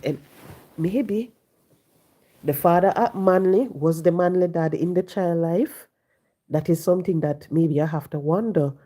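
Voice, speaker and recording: A woman, hoarse and congested from the flu, talking on a casual live stream, preaching and repeating her points to viewers from home. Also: fairly low pitch, warm tone. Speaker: livestream host. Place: home, sitting.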